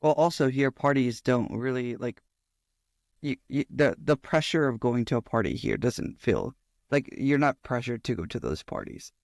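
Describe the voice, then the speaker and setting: A young man from Arizona hosting a podcast, chatting casually in a relaxed, easygoing way. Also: medium pitch, normal speed, gravelly, dry recording, studio quality. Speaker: podcast host. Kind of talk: casual conversation.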